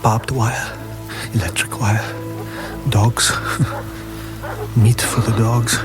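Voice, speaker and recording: Slow, intense fugitive man. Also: mid-range voice, little pitch movement. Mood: tense, hushed. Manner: hushed, intense, halting short phrases. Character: desperate man planning to flee across a guarded border. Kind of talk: radio drama inner monologue. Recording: loud music under the voice.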